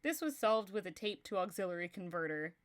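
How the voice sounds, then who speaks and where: A woman's voice: mid-range voice, expressive, fairly quick. A young woman from Texas with a Texan accent, reading a story aloud on a livestream and chatting casually, laughing often.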